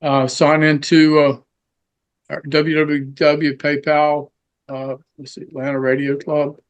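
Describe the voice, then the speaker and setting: Man, slightly high voice, monotone, hesitant, casual club member explaining. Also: takes it slowly. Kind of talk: casual meeting chat. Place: online video meeting.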